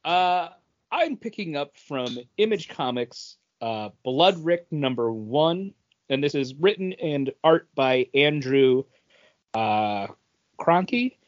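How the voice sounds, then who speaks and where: A man's voice: a little high, animated, slow pace, dull audio. A man co-hosting a podcast, talking casually about what he is reading. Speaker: podcast co-host. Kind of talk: casual talk about comic books.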